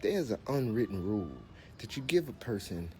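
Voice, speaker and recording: Low-voiced man ranting in exasperation. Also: big pitch swings, warm tone. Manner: exasperated ranting, drawn-out emphasis. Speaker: creator of a reacted clip. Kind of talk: comedic rant.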